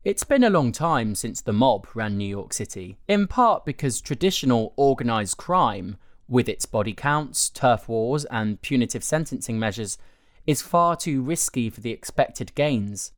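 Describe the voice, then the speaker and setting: Measured male article narrator, close dry sound. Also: mid-range voice, lively intonation, no echo. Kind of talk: scripted audio article narration.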